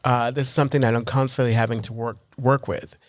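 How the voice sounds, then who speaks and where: A man co-hosting a recovery podcast in casual chat, talking in an animated, rambling way full of restarts and self-deprecating humor. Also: mid-range voice, fast talker, dry recording. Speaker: podcast co-host.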